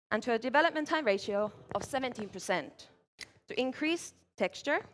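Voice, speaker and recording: A woman from Finland, giving a measured competition presentation as a barista at a live event. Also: on the high side, a bit bright. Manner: measured, clear presenting while working.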